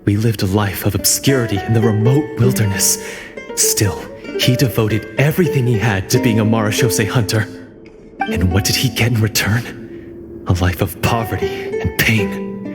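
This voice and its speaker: An actor voicing a bitter, proud hunter turned betrayer in a video game, declaiming with dramatic resentment. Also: male voice, lowish voice, normal speed, close to an everyday voice. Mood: bitter, aggrieved. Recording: long hall reverb under loud guitar music.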